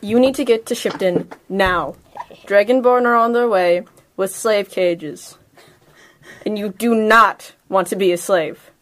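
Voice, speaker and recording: A woman's voice: medium pitch, measured pace. A woman playing a tabletop game, casually describing what her character does. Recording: noisy group recording.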